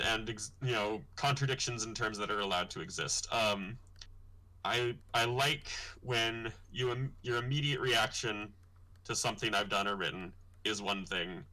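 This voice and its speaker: A man's voice: sharp tone, low-quality recording. A young man, a comic artist hosting his own livestream, talking thoughtfully and rambling a little with fillers. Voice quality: deep voice. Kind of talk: casual livestream chat.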